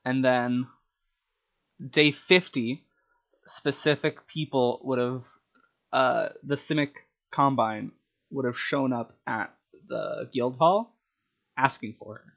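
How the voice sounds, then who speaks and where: Male storyteller, average pitch, casual tabletop gamer retelling plot ideas with acted asides. Muffled, lo-fi audio. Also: takes it slowly, vocal fry, pauses often, a bit bright. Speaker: storyteller and tabletop game player. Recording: dull, lo-fi sound.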